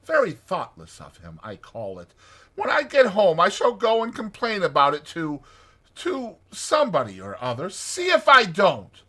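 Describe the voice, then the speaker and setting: A man's voice: high voice, wildly swooping pitch, normal speed, bright, forceful tone, slightly rough, voice pushed up, heavily acted. A man voicing a naive, fussy male mole from a storybook, wordy and exclamatory in his delivery. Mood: indignant. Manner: wordy, indignant or gushing, exclamatory. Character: naive, fussy male mole, pettish yet easily amazed.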